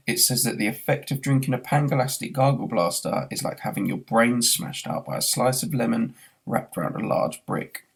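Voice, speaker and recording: Expressive man reading with a gravelly husky edge. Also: mid-range voice. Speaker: reader, schoolteacher. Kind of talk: scripted story narration.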